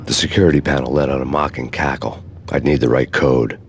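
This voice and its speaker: A man voicing a grim, hardboiled male cop who narrates in a deadpan noir style. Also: very deep voice, brisk, background noise. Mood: brooding. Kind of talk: game voice-over narration.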